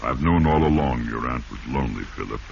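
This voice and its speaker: A man acting as an older, paternal family physician in a 1940s radio drama, measured, reassuring and blunt. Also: rumbling low, animated, vocal fry, a little mellow, full character voice. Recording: noisy, muffled 1940s radio recording.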